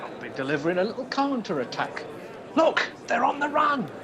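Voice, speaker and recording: An actor in a live radio play, playing a cheeky, persistent young undercover detective with a breezy, theatrical manner. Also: male voice, very high-pitched, very animated, brisk, crowd noise, exaggerated voice. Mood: insistent, cocky.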